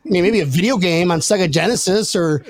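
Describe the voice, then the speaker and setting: A man's voice: high voice, fast. A man hosting a casual sports podcast with jokey, self-deprecating banter and salty language. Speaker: podcast host.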